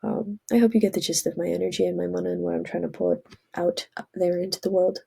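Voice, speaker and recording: Vlogger, woman, stuffy from a cold, gravelly and husky, earnest rambling delivery. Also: lowish voice, level tone, fast talker, dark tone. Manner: rambling, earnest, self-correcting. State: congested from a cold. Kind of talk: casual spiritual vlog monologue.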